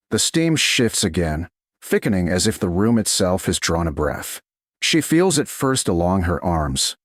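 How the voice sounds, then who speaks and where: A man reading a slow-burn romance story aloud in a slow, hushed, deliberate manner. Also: lowish voice, no room sound, clean studio sound. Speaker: narrator. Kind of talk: scripted romance narration.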